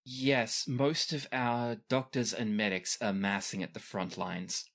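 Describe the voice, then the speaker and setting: A man's voice: on the low side, little pitch movement, a little slow, fairly bright tone. A man playing an old, deferential head doctor who speaks formally in a tabletop fantasy game. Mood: polite. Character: old, polite, astonished male head doctor.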